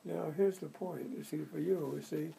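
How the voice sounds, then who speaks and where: An older man with a deep, heavily gravelly voice, telling his story in a halting, rambling way, full of restarts and "you see." His tone is dark and mellow, with faint background hiss around him. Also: fairly even intonation, poor audio. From United States. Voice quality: low voice. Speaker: interviewee. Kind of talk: oral history interview.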